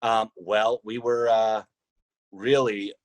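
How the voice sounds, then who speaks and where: A man speaking as a podcast guest, thinking aloud deliberately in a conversational interview. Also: on the low side, level tone, dry recording. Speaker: podcast guest, founder of a role-playing podcast.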